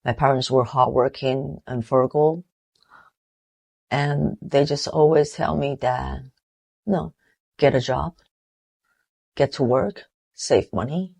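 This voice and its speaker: A woman in her forties from Taiwan with a Taiwanese accent, giving an earnest, reflective motivational talk with halting restarts. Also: very deep voice, creaky, a little mellow, slightly rough. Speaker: motivational vlogger.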